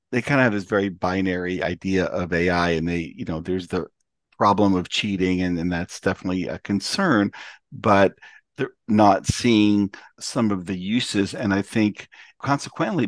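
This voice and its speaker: Low voiced guy hosting a podcast, calm and affirming, dry close mic. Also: no room sound. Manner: measured, affirming, asks follow-up questions. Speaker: podcast host. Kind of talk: interview.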